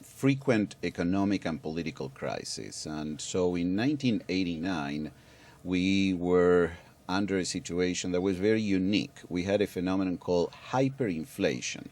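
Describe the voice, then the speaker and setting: Very deep-voiced man, measured and explanatory. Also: darkish tone. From Argentina. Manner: measured, explanatory, with frequent hesitations and restarts. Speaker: guest expert. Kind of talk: public affairs interview.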